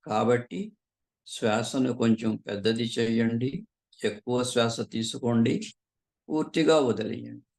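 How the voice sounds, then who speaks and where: A man leading a guided meditation as a teacher, calmly and unhurriedly, pausing often between short phrases. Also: mid-range voice, gravelly. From southern India.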